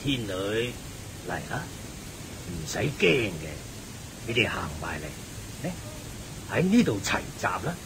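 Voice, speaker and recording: An actor playing a wise, shrewd old lay sage with a measured teaching manner in a radio drama. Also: male voice, sing-song, creaky, heavily acted. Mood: calm teaching. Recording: faint rumbling hiss.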